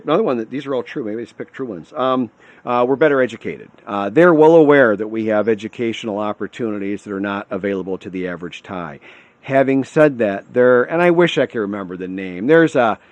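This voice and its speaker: A man's voice: medium pitch, no room sound, muffled recording. A middle-aged man from Michigan talking unscripted to camera, rambling and restarting his words often. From Michigan, USA. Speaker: vlogger on retiring abroad.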